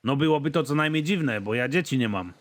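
A man voicing a tough ex-military father who delivers threats in a flat, deadpan way. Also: mid-range voice, fairly quick, faint music behind, lightly acted.

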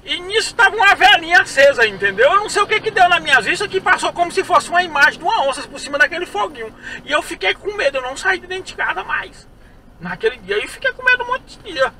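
A man's voice: very high voice, big pitch swings, fast talker, pushed and bright. A young man from Brazil who drives a ride-share dressed as a clown, chatting and joking with his passengers and laughing often. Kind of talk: casual prank chat with passengers. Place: inside a car at night. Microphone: dashboard camera mic. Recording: distant in-car mic with road noise.

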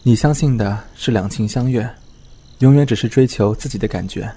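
A man playing a worldly, teasing young friend in a modern audio drama, candid, with a husky voice. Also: fairly low pitch, normal speed, dark tone.

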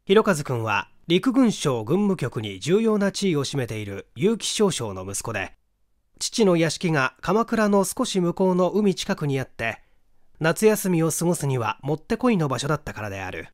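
A man in his thirties reading a literary mystery story aloud in a measured storytelling delivery. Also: on the high side, big pitch swings, dry recording, studio quality. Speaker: audiobook reader, stage actor. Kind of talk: literary mystery novel reading, scripted narration.

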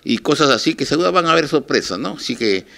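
An older man with a Peruvian Spanish accent speaks haltingly and informally in an interview, addressing his listeners. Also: a little high, lively intonation, gravelly, bright, forceful tone. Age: 60s or older. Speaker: radio station manager, interviewee. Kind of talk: informal televised statement to listeners. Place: small office, indoors. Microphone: handheld reporters' microphones held close.

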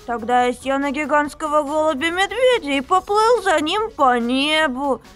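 A woman's voice: high-pitched, expressive, normal speed, a bit bright. A woman voicing a stoned young male co-author who tells dreamy nonsense stories. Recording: faint background music.

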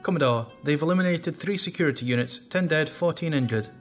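Man acting a high-pitched, fast-talking deck officer. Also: faint music behind, lightly acted. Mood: dutiful. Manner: urgent, crisp reporting. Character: anxious, obedient male deck officer. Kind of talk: audio drama acting.

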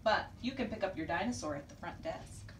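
A woman's voice: fairly low pitch, lively intonation, brisk, lightly acted. A woman voicing a cheerful, matter-of-fact nurse in a storybook reading. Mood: breezy. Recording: roomy distant recording with faint hiss.

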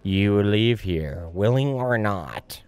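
A man voicing a haughty, menacing shadow creature in a video game, with an imperious, drawn-out delivery. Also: low voice, lively intonation, darkish tone, clear, resonant tone, dry recording.

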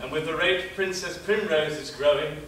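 A man's voice: fairly high pitch, normal speed, fairly bright tone, close to an everyday voice. A British man playing a camp, flirty, motherly nanny in a stage pantomime, with exaggerated, playful projection. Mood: chatty, flirty. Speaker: pantomime dame actor. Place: on stage in a theatre, far camera. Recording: distant, echoey theatre hall, noisy ambience.

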